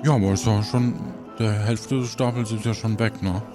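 A man's voice: on the low side, steady tone, normal speed, natural voice. A man playing a muddled, fretful pirate crew member in an improvised audio play, hesitant and repeating himself. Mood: chatty. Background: background music.

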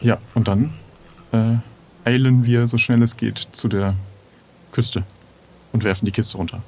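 A man's voice: medium pitch, steady tone, unhurried, darkish tone. A man playing an improvised audio role-play game, thinking aloud as he announces his actions. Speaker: player in an adventure game.

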